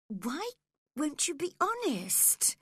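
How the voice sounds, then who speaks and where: A woman voicing a spirited, caring teenage girl who is quick to anger, in a dramatised audiobook. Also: high voice, huge pitch swings, fairly bright tone, no room sound, clean studio sound, pitched above the performer's own voice, full character voice. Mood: impatient.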